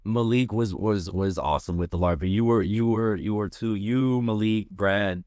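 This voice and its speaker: A man in his twenties chatting casually as a podcast guest, slangy and animated. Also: on the low side, moderate pace, no room sound, studio quality. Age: 20s. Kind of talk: casual chat.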